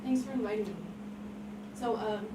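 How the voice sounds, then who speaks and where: A woman's voice: mid-range voice, fairly even intonation, moderate pace, close to an everyday voice. A woman reading a big, huggable, easily flustered young man in a script table read. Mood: awkward. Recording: roomy, distant mic, heavy background noise.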